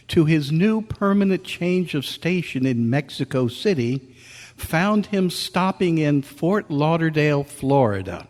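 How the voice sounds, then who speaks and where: A man's voice: a little high, a little mellow. A man in his sixties reading a scripted story live as the narrator. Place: convention meeting room, live. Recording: echoey hall with long reverb.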